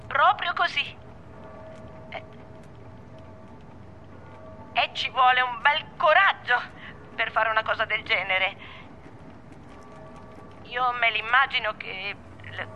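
An actress playing a rattled, defensive woman on a phone call in a radio drama, talking in a halting, repetitive, evasive way. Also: sits high, bright, forceful tone, slight background noise, heavily acted. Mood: disbelieving, rattled. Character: rattled, defensive woman gossiping about someone close.

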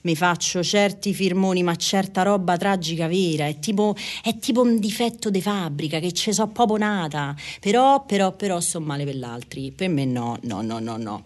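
A middle-aged woman with a Roman Italian accent telling personal stories casually, rambling, repeating phrases and acting out conversations. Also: lowish voice. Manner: chatty, repeats phrases, acts out dialogue.

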